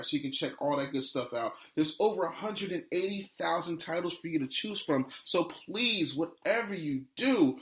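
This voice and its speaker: A man's voice: on the high side, a bit bright. A man hosting a podcast with hyped, slangy, effusive talk, moving between casual interview and ad reads. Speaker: podcast host. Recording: roomy, echoey sound.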